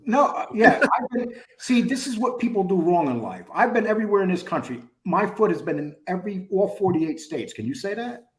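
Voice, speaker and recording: Man, from New York, brash, swearing, self-mocking, slightly high voice, very lively pitch. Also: a little fast, hardly any pauses, poor audio. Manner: brash, profane, laughs at himself. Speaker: show guest. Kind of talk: casual crosstalk chat.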